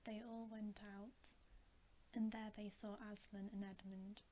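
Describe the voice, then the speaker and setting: Woman whispering a slow bedtime story. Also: medium pitch, level tone, slight background noise. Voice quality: soft, breathy whisper. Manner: slow, gentle, unhurried delivery with pauses. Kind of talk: whispered ASMR storybook reading.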